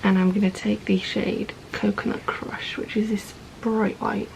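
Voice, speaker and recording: A woman with a croaky voice calmly narrating a makeup tutorial step by step. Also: medium pitch, unhurried, noisy background. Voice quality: gravelly. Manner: calm, methodical, narrates each step as she goes. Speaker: makeup vlogger.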